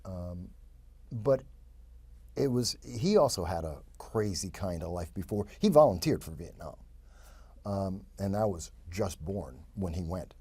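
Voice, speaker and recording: Deep, lively, rambling man. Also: husky, no echo. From New York. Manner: lively, rambling, with quick asides. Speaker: interview guest. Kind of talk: casual interview storytelling.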